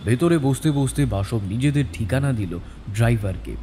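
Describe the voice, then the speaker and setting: Mellow, expressive male story narrator. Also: mid-range voice, moderate pace, slight background noise. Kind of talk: scripted dramatic story narration.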